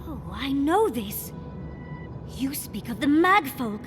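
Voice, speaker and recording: High-voiced, curious scholar adventurer. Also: female voice, sing-song, slow pace, full character voice. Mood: intrigued. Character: curious, eager young woman scholar adventurer with grimoire. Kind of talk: naturalistic fantasy video game acting. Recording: game music under the voice.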